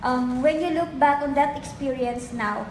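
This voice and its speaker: A woman's voice: sits high, normal speed, slight background noise. A woman asking polite questions as a reporter at a press conference. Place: press conference room, on microphone. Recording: echoey room, music behind.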